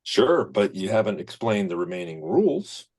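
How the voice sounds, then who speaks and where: Theatrical flirty guy man acting, very low husky voice, swoopy. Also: big pitch swings, normal speed, a little mellow, full character voice. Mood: eager. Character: theatrical, flirtatious man among reality-show housemates. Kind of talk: comedy script table read.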